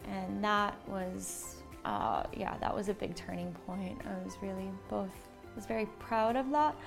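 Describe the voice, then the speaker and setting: A woman's voice: mid-range voice, vocal fry. An American woman speaking casually and enthusiastically in an interview as a small business owner. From born in Slovakia, moved to the United States at seven. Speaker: small business owner, textile designer and shopkeeper.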